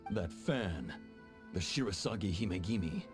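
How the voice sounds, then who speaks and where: An actor playing a stern, officious chief of tax officers in a video game, commanding and haughty. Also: male voice, low-pitched, lively intonation, normal speed, music in the background. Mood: wary. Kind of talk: English video game dub acting.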